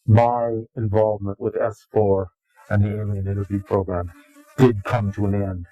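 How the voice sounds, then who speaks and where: A man giving interview testimony in a grave, deliberate, halting and portentous way. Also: lowish voice, muffled recording. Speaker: interviewee, claimed insider. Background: music.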